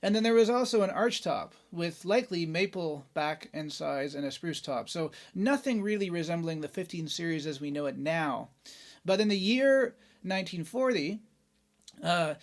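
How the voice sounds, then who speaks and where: A man hosting a guitar show, talking casually and enthusiastically in a chatty, informal way about gear. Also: sits high. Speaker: host of a guitar review show. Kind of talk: casual gear talk and demonstration.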